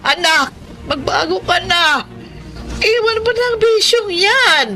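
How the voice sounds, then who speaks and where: A woman's voice: very high-pitched, lively intonation, takes it slowly, bright, forceful tone, heavily acted. An actress playing a worried, pleading middle-aged mother and grandmother in a Filipino radio drama, earnest and concerned.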